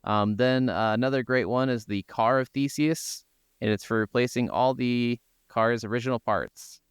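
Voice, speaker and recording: A man's voice: medium pitch, fairly even intonation, moderate pace, smooth, dry recording, studio quality. A man co-hosting a podcast, chatting casually about games with some offhand swearing. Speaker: podcast co-host. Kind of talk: casual gaming podcast chat.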